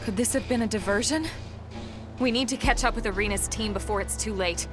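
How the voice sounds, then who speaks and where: A woman's voice: fairly high pitch, a little fast, over music, natural voice. A woman playing a composed, authoritative colonel in a video game, decisive and stern. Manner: commanding, crisp orders.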